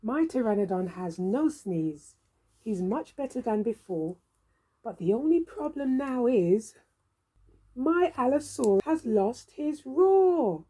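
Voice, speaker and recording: A woman reading a storybook aloud for young children in an expressive, theatrical, drawn-out way. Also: fairly high pitch, husky, rough recording. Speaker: storybook reader.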